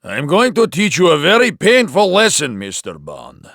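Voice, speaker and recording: Casting: taunting spy villain, high bright voice, menacing, video game. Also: male voice, huge pitch swings, normal speed, no echo, studio quality, full character voice.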